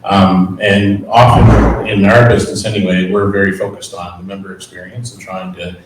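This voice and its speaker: A man's voice: low voice, little pitch movement, moderate pace. A man, a credit union executive, speaking on a panel in an easygoing, lightly joking way with frequent uhs. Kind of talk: panel discussion. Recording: echoey room, distant mic, faint noise.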